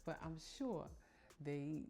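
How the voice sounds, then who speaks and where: A woman's voice: sits low, animated, takes it slowly, mellow. A middle-aged woman hosting a podcast, talking to her listeners in a casual, heartfelt monologue with a chatty, emphatic manner. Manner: chatty and emphatic, addresses listeners as ladies and y'all. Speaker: podcast host.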